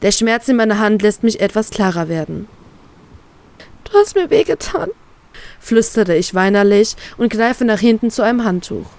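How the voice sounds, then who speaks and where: A woman reading her own fan fiction aloud in an expressive, emotional way. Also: mid-range voice, fairly quick, hardly any pauses. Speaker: fan fiction author and reader.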